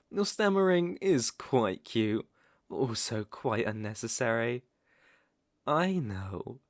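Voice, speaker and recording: Man acting as ancient vampire count, British accent, unhurried, suave, teasing, predatory, formal, close dry ASMR roleplay. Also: mid-range voice, dry recording. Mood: predatory, mocking. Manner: silky, theatrical, teasing and formal with lingering pauses. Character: centuries-old aristocratic male vampire lord, suave and predatory.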